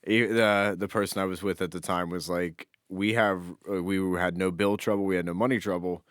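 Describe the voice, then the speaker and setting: A man's voice: deep voice, fairly even intonation, brisk, a bit bright, dry recording, studio quality. A man co-hosting a podcast, telling anecdotes in an informal, conversational way. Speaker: podcast co-host. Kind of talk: casual chat, anecdotal storytelling.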